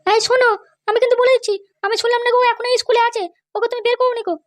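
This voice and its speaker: A woman's voice: extremely high pitch, little pitch movement, rapid-fire, dry recording. A woman playing a schoolmaster's vindictive, pushy wife who gives commands insistently in a comedy cartoon. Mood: vindictive, demanding.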